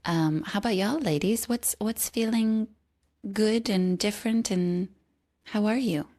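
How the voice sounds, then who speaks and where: A woman co-hosting a podcast, chatting casually in a slow, gentle way with soft laughs. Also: medium pitch. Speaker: podcast co-host. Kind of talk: casual chat.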